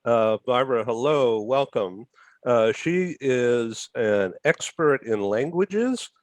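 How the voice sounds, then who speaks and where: A male host greeting guests on a video call, his pitch moving up and down a lot. The sound is dry and close. He hesitates with fillers as he introduces people. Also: animated, slow pace, no echo. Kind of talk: talk show hosting.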